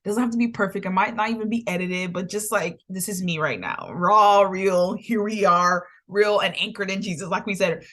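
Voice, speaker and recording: A woman of thirty, giving an impromptu casual vlog update in an animated, playful way, laughing often. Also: medium pitch, moderate pace, hardly any pauses. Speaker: podcast host.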